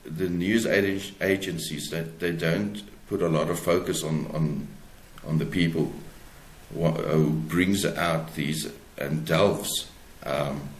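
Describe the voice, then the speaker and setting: A South African man speaking as an interviewed author, explaining his work haltingly and searching for words. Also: very deep voice, steady tone. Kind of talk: conversational interview, explaining own book. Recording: roomy, echoey sound.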